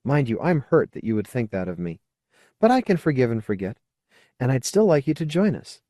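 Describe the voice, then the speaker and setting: A man's voice: medium pitch, big pitch swings, a little fast, warm tone, no room sound, clean studio sound, voice pushed up, lightly acted. A man voicing a frank, earnest, coaxing woman in an audiobook. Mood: firm, forgiving. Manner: earnest, coaxing, plain-spoken. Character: frank, persuasive woman colonist, old friend. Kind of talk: audiobook character dialogue.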